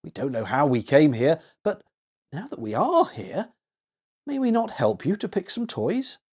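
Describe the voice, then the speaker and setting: A man's voice: on the high side, very animated, a little slow, darkish tone, husky, heavily acted. A man voicing an earnest, eager little boy full of wonder in a children's storybook reading. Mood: shy, polite.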